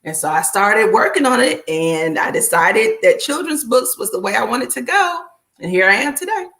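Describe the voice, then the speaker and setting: A middle-aged woman, a children's book author, talking casually as a guest, reflective and relaxed with many fillers. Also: fairly high pitch, moderate pace. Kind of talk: casual conversation.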